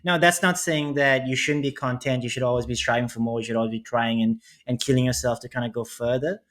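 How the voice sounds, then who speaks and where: A man's voice: mid-range voice, steady tone, fast talker, a bit bright. A young man co-hosting a casual business podcast, speaking earnestly and reflectively, often repeating and rephrasing. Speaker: podcast co-host.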